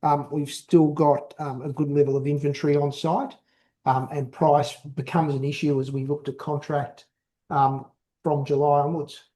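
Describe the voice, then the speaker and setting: A male company executive answering questions on an investor call, explaining in a halting, measured way with repeated words. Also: a little high, flat delivery, mellow.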